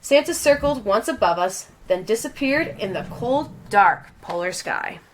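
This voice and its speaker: A woman reading a children's storybook aloud in an expressive, dramatic and deliberate storytelling style. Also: medium pitch, slight background noise. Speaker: storybook reader.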